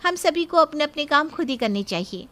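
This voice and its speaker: A woman's voice: high voice, lively intonation, a little fast, fairly bright tone, pitched above the performer's own voice. A woman voicing a strict, principled young policewoman daughter-in-law in a cartoon story, lecturing firmly and giving orders. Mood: bossy. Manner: firm, commanding, lecturing. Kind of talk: cartoon moral story acting.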